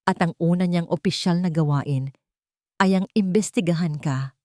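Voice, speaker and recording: Woman doing a calm powerful businessman, Filipino accent, measured authority, dark timbre, audiobook character. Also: on the low side, no room sound, studio quality, close to an everyday voice. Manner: composed, deliberate. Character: calm, commanding male billionaire, coolly vengeful.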